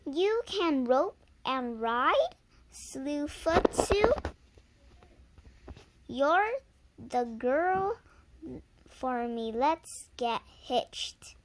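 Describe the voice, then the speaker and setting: A woman's voice: high-pitched, very animated, extremely slow, long pauses, background noise, heavily acted. A young girl reading the part of a confident, gallant cowboy hero in a storybook tall tale, bold and folksy. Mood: smitten.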